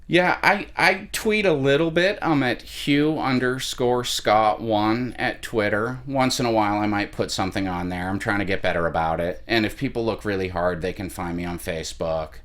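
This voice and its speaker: A young man, an actor, answering interview questions in an earnest, measured way with some restarts. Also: on the low side, a bit bright. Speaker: actor guest.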